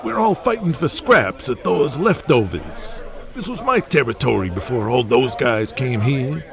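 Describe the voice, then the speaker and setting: A man's voice: mid-range voice, big pitch swings, measured pace, creaky, over music. A man playing a brash, resentful, ambitious pro wrestler who complains in slang, in an audio drama. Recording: crowd noise behind the voice. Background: arena crowd.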